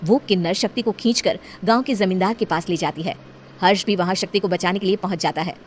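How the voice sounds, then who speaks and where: A woman storyteller reading scripted narration for an animated tale. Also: medium pitch, quick pace, a little hiss or hum. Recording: music behind the voice.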